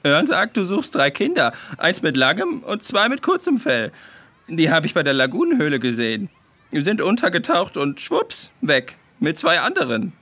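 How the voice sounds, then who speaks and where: An easygoing cartoonish puffin for a children's audio play. The voice sits high and bright, leaping around in pitch. Sentences come out clipped and blunt. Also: male voice, huge pitch swings, moderate pace, full character voice. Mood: blunt, matter-of-fact. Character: chatty, easygoing male puffin bird named Björn.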